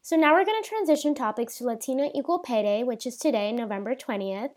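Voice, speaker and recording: A woman's voice: a little high, fast, a little mellow, faint music behind. A young adult woman co-hosting a podcast, introducing the next topic in an upbeat way. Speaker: podcast co-host.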